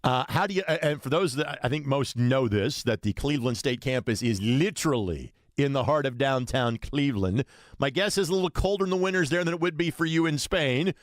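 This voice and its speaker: A man's voice: medium pitch, a little fast, dry recording, clean studio sound. A man hosting a sports radio show, interviewing a guest in a conversational way.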